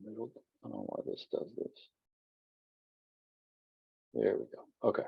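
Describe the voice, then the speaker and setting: A man teaching an online class in a loose, rambling way with lots of fillers, his voice husky and giving out. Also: lowish voice, big pitch swings, measured pace, narrow, tinny audio. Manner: casual, rambling, many fillers and restarts. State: losing his voice. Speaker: college instructor.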